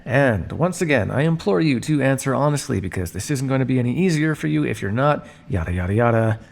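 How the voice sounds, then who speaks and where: A man's voice: mid-range voice, expressive, brisk, vocal fry. A man playing a smug, teasing vampire scientist captor in an ASMR roleplay, speaking with a theatrical, drawling, mock-polite and sardonic manner. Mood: condescending, firm. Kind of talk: ASMR roleplay monologue addressed to listener.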